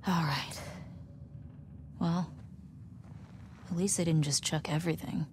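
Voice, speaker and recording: A woman's voice: sits low, steady tone, takes it slowly, a little hiss or hum. A young American woman acting a wry survivor companion in a game cutscene, with a casual, conversational way of talking. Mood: easygoing. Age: young adult.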